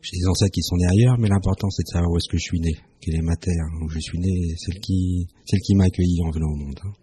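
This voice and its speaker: Deep, husky-voiced Frenchman in his fifties. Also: fairly even intonation, fast talker, warm tone. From France. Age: 50s. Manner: hesitant, many fillers, reflective. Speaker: radio guest. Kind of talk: live radio interview.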